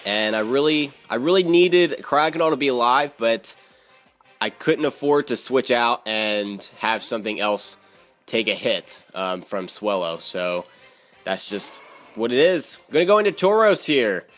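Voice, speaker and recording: A man's voice: mid-range voice, lively intonation, unhurried, fairly bright tone, faint music behind. A man narrating his own video game battle as commentary, repeating words and phrases for emphasis.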